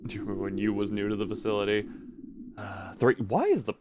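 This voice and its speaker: Low-voiced man streaming a game, noisy background. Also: fast talker. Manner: stumbles over read text and corrects himself. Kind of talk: casual livestream commentary mixed with reading game text aloud.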